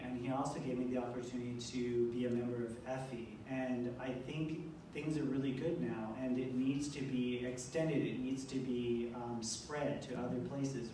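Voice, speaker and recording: A man's voice: medium pitch, fairly even intonation, unhurried, hardly any pauses. A man working as an interpreter, relaying someone's speech aloud at a public event. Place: indoor event room, heard from far off. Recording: distant mic, very echoey room, faint noise.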